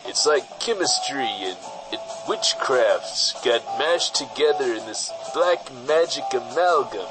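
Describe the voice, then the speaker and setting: A man's voice: medium pitch, expressive, slow pace, music in the background, poor audio. A man playing a slick, folksy washed-up film star giving a hammy, paternal parody public service announcement. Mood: smarmy, warning.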